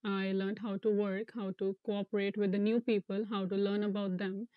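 A young woman from India, an international student, speaking earnestly in an interview with some halting restarts, on a lapel mic. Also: medium pitch, flat delivery, no echo, lo-fi sound. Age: young adult. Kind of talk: casual interview talk.

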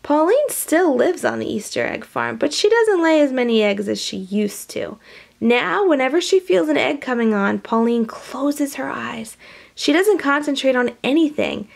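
High-voiced woman reading to young children. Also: normal speed, gravelly. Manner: animated, with hushed whispered asides. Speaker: storybook reader. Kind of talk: expressive read-aloud for young children.

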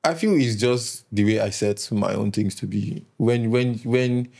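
A man in his twenties from Nigeria, a podcast guest chatting in a relaxed way, often laughing and repeating words as he thinks. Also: mid-range voice, measured pace, gravelly. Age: 20s. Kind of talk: casual interview chat.

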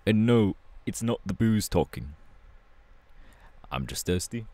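A low, dry-recorded voice of a young punk hero acted by a man in an audio roleplay. He drawls in casual slang, rebellious and laid-back, with a short fuse. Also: expressive, unhurried, dark tone, no room sound. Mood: irritated, defiant.

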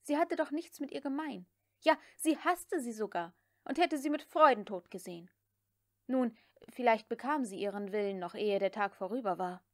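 A woman narrates a literary audiobook. Her voice sits fairly high for a woman. The recording is dry, with very little room sound. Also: expressive, moderate pace, no echo.

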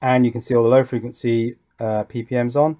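A man's voice: mid-range voice, flat delivery, fairly quick, dark tone. A man giving casual hands-on demonstration commentary, thinking aloud in a tentative, musing way. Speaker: host of an electronics build channel. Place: indoor workbench.

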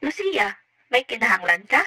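A woman's voice: fairly high pitch, big pitch swings, moderate pace, pushed and bright, no echo, studio quality, exaggerated voice. A woman playing a caring, reassuring teacher in an amateur radio drama. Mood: concerned, reassuring. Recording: music behind the voice.

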